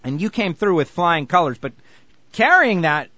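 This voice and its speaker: A man hosting a sports radio show, eager and animated as he interviews a guest. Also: sits high, moderate pace, dry recording, lo-fi sound. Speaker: radio host.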